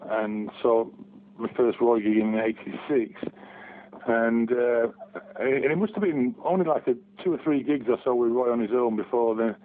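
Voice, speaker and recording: A man's voice: mid-range voice, moderate pace, slight background noise. Man on a tinny phone line. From Barnsley, England. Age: 40s. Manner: hesitant, many restarts and ums. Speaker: guest fan. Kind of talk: casual phone interview. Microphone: telephone.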